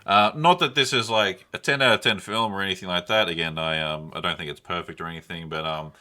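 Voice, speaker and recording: A man's voice: sits low, fast, pushed and bright. A man co-hosting a podcast, chatting casually about films in a rambling, slangy, enthusiastic way. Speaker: podcast co-host. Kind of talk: casual film discussion.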